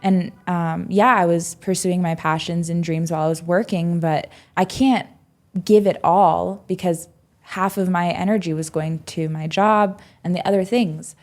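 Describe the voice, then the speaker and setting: Girl in her 20s, lowish voice, thinking out loud, lots of um and like. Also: fairly even intonation, measured pace, faint music behind. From United States. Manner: reflective storytelling, many "um"s and "like"s. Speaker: podcast guest. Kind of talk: casual podcast interview.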